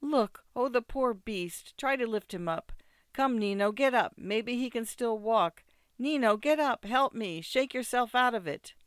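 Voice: medium pitch, animated, measured pace, fairly bright tone, smooth, no room sound, clean studio sound. A narrator voicing a distraught, headstrong young wife in a storybook, exclaiming, pleading and reproaching. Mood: pleading, reproachful.

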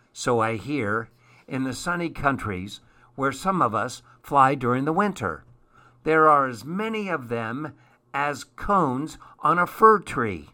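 A man's voice: mid-range voice, bright, forceful tone, full character voice. A man voicing a knowledgeable little storybook bird in an explanatory manner. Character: knowledgeable chaffinch, well-travelled little bird.

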